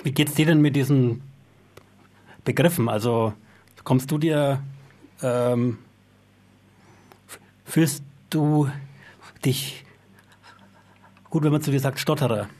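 A man's voice: medium pitch, slow pace, long pauses, darkish tone. A man hosting a radio talk show, speaking in a thoughtful, reflective way with an occasional stutter. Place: radio studio.

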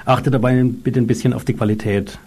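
A man hosting a radio show, narrating and announcing in a measured, informative way. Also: mid-range voice, fairly even intonation, darkish tone, husky.

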